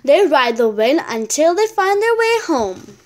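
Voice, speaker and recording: Woman reading as a gentle storybook big sister. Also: high-pitched, very animated, unhurried.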